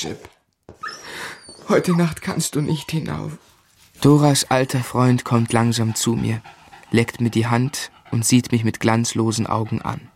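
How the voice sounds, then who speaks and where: An actor playing a grieving young husband who tells his life story in a radio play, sombre and measured. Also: mid-range voice, creaky, a little mellow, a little hiss or hum. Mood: distraught grief, then sombre narration. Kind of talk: literary radio play narration and acting.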